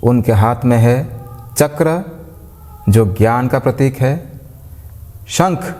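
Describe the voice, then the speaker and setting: A man leading a devotional guided meditation, giving gentle, unhurried instructions in short phrases with pauses between them. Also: medium pitch. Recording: long reverb, like a large hall.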